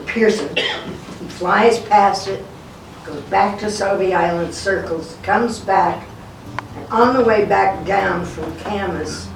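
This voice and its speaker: A woman's voice: mid-range voice, vocal fry, background noise. An older woman giving a live storytelling talk in a folksy, conversational way, acting out the dialogue as a local historian would. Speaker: guest speaker, local historian. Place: indoor talk at a historic log cabin. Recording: roomy, distant microphone, music behind.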